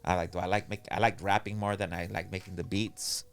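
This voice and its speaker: A man's voice: low-pitched, flat delivery, brisk, vocal fry, faint music behind. A man hosting a podcast, chatting in a laid-back, slangy, rambling way. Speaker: podcast host. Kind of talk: casual interview chat.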